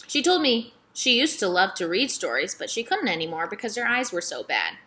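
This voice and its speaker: A woman's voice: mid-range voice, very animated, fairly quick, bright, forceful tone. A woman reading a children's novel aloud as scripted first-person narration. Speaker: storybook reader.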